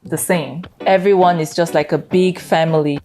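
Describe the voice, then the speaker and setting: A woman with a Nigerian accent chatting as a guest in a casual interview, relaxed and quick to laugh. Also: lowish voice, faint music behind. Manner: relaxed, conversational, laughs often.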